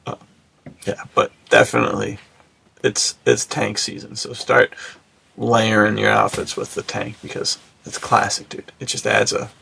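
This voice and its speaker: A man's voice: lowish voice, level tone, a little slow, gravelly, sharp tone, slight background noise. A man chatting casually as a podcast co-host, using slangy, bro-like phrasing.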